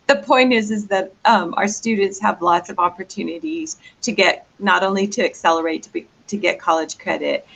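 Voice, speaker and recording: A woman's voice: on the low side, moderate pace, creaky, few pauses, fairly bright tone, a little hiss or hum. A woman, a school administrator, leading a presentation in a chatty, joking way and inviting questions. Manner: joking, chatty, leads the meeting.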